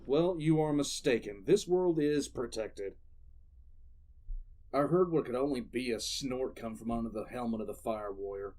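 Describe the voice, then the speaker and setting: A battle-hardened military commissar, played by a man narrating a sci-fi audio drama. His voice sits at a middle pitch. He tells it deliberately, with grim contempt and stubborn defiance. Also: a little mellow, lightly acted, poor audio. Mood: dry, defiant. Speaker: narrator and lead character.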